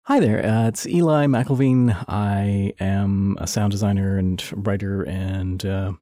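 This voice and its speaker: Guy chatting in an interview, low mellow voice, lots of uhs, dry sound. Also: steady tone, takes it slowly, no room sound. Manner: hesitant, lots of uhs and restarts. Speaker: interview guest, sound designer. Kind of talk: casual interview talk.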